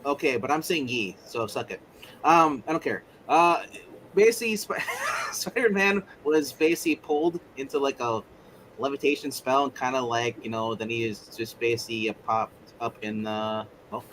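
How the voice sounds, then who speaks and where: A man in casual tabletop game chat, narrating in a rambling, joking, animated way. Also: medium pitch, moderate pace, slight background noise, low-quality recording. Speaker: player narrating in a roleplay game.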